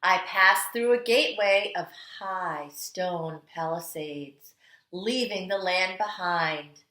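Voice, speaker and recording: Kindergarten teacher lady reading a storybook slowly n dramatically, bright voice, echoey room. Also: lowish voice. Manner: dramatic, deliberate delivery with strong swells and hushed endings. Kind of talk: expressive read-aloud of a picture book for young children. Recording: echoey, roomy sound.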